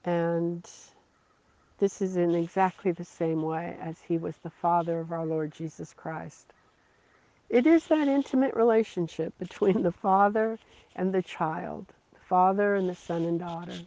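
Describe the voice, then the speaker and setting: Slow, earnest preacher on a dull recording. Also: medium pitch, a little mellow. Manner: slow, earnest, repeating phrases for emphasis. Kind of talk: devotional sermon.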